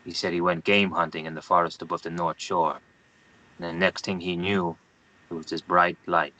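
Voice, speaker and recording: A man's voice: low voice, little pitch movement, moderate pace, pushed and bright, low-quality recording. A man playing a curt, grave Guatemalan newspaperman in his thirties at a screenplay table read. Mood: grim, haunted.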